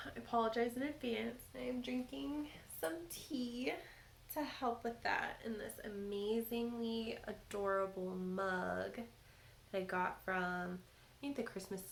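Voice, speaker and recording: Slow, creaky-voiced knitting podcaster with a cold. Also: female voice, a little high. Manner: chatty, relaxed, with frequent ums. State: stuffy from a cold. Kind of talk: casual show-and-tell chat. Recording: echoey room with faint background noise.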